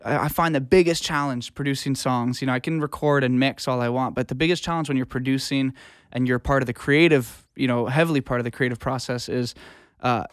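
A man's voice: mid-range voice, fairly even intonation, brisk, clear, resonant tone, no echo, clean studio sound. A man speaking casually as a music producer being interviewed, explaining things informally with examples. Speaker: music producer and audio engineer guest.

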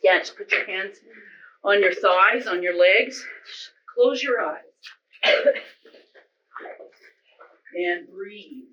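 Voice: mid-range voice, slow, pauses often, bright, forceful tone. A person leading a group ritual as seance leader, recorded dull and distant in a roomy space. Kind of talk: guided ritual, incantation.

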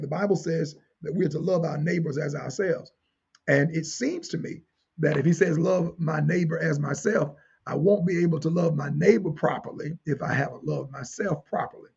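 A man delivers a teaching sermon in a high-set voice that is markedly hoarse-edged and full of gravelly creak. He is deliberate and emphatic, circling back to key phrases and putting questions to his listeners. The recording sounds somewhat dull. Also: a little mellow. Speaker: preacher.

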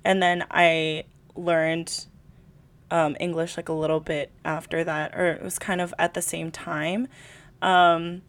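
Young woman co-host, slightly low voice, rambling casual chat, lots of fillers. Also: little pitch movement, slow pace. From California, USA. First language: Tagalog. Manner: chatty, rambling, full of "like" and laughter. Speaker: podcast co-host.

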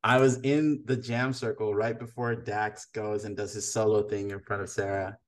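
A man's voice: on the low side, little pitch movement, normal speed. A man hosting a casual podcast interview, friendly and teasing, rambling with restarts. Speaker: podcast host.

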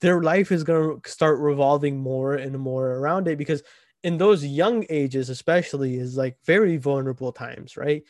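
A man's voice: fairly high pitch, normal speed, darkish tone, no room sound. A twenty-year-old man hosting a casual podcast chat, rambling with lots of fillers and frequent "right?" check-ins. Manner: rambling, filler-heavy, keeps asking "right?". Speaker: podcast host.

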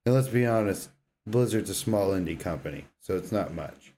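A man's voice: deep voice, animated, moderate pace, mellow, a little hiss or hum. A man co-hosting a podcast with casual, slangy, laid-back banter. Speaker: podcast co-host.

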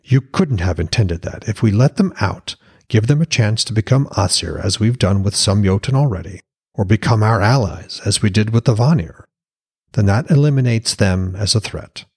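Man as an earnest, low-voiced Norse prince. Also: expressive, normal speed, a little mellow, breathy edge. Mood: heated. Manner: insistent, reasoning.

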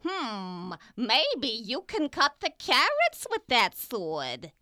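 A woman's voice: fairly high pitch, wildly swooping pitch, slow pace, bright, forceful tone, no room sound, clean studio sound, heavily acted. A woman playing a friendly, easily impressed talking toilet in a children's audio play. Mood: friendly wonder. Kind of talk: children's audio theater acting.

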